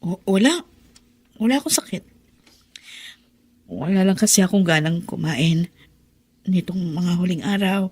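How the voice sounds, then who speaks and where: A woman playing a young wife just back from working abroad in a Filipino radio drama, speaking in a tired, soft, pleading way. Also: lowish voice, a little slow, pauses often, slightly rough. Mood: weary.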